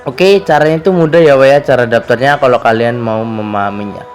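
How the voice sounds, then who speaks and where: A slightly hoarse man narrates a casual tutorial as host, chatty and fond of repeated verbal tags. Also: mid-range voice, brisk, faint music behind.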